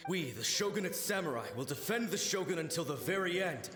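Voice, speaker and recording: A man's voice: on the high side, lively intonation, brisk, music in the background, natural voice. Echoey, earnest samurai soldier played by a man. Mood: dutiful. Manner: formal, earnest, declamatory. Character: loyal, brave male samurai soldier, dutiful and formal. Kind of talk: video game character acting.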